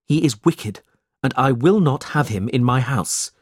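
A protective, indignant storybook hero, read by a narrator, firm and emphatic. The slightly husky voice moves with lively pitch at a measured pace. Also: dry recording, studio quality. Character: protective, indignant young man standing up to villains.

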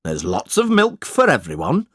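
A reader acting out a male storybook schoolmaster, middle-aged, stingy, bossy, falsely generous, wildly varied pitch, crisp and dry. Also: wildly swooping pitch, moderate pace, fairly bright tone, no room sound, exaggerated voice.

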